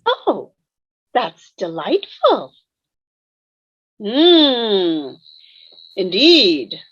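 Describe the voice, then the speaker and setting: A woman's voice: medium pitch, very animated, extremely slow, a bit bright, slightly rough, heavily acted. A woman playing a formal, fussy, grumbling ghost with a dramatic manner over a dull video call. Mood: curious.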